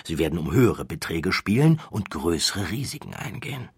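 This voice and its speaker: Man voicing a confident, smooth-talking card sharp, husky. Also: sits low, big pitch swings, normal speed, dry recording, clean studio sound, heavily acted. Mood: instructive, calculating. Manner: persuasive, matter-of-fact, familiar. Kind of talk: acted audiobook dialogue.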